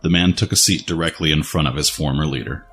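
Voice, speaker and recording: Deep-voiced man narrating dramatic fiction. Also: quick pace, fairly bright tone, husky. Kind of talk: dramatic scripted story narration. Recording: music under the voice.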